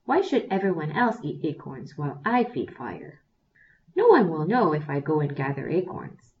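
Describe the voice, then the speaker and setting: A woman's voice: deep voice, sing-song, normal speed. A woman voicing a small, restless young male bear in a storybook tale, sulky and greedy. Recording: distant, dull lo-fi recording.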